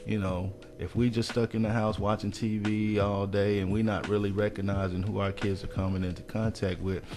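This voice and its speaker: Earnest low-voiced man urging parents. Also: flat delivery, fairly quick. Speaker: radio guest, community mentor and counselor. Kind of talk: radio interview talk.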